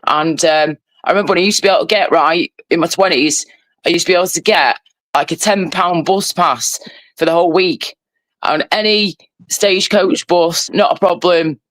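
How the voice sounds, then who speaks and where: High-voiced, chatty Mancunian man. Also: moderate pace, a bit bright, no echo, poor audio. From Manchester, England. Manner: chatty, rambling, sweary. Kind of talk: casual chat.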